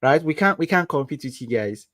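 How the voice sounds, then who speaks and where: A man's voice: fairly high pitch, animated, a little fast, fairly bright tone, no echo, studio quality. A young Nigerian man with a Nigerian accent, teaching code on a livestream and asking the viewers rhetorical "what?" questions as he goes. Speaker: coding livestream instructor. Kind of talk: live coding tutorial with chat.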